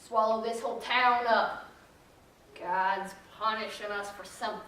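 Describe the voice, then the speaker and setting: A woman's voice: a little high, steady tone, unhurried, fairly bright tone. Echoey far-off stage recording, woman doing a weary sour small town wife, faint noise. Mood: weary, bitter. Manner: dour, clipped phrases. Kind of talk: readers theater monologue.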